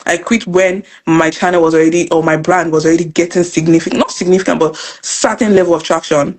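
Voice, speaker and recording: A young woman from Nigeria with a Nigerian accent, telling her story as an interview guest in an earnest, animated way. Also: on the low side. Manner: fast, earnest, animated storytelling with repeated phrases. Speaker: guest, financial educator and business coach. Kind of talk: casual interview conversation.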